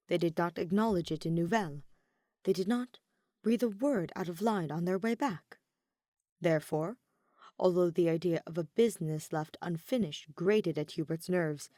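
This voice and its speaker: A woman narrates a story in audiobook style. Her pitch is slightly low and moves in a very lively way, and the recording is dry and close. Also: dry recording. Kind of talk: scripted narration of fan fiction.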